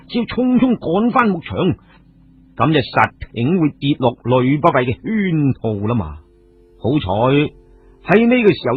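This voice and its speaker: Husky, animated male storyteller. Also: fairly high pitch. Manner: animated, emphatic storytelling with asides. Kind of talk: dramatic serial story narration. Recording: tinny narrow-band radio sound, music behind. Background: background music.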